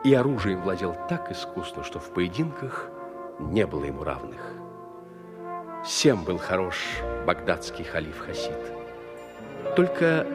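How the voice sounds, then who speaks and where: Male narrator for a fairy-tale audio play: lowish voice, very lively intonation, music underneath. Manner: expressive storytelling.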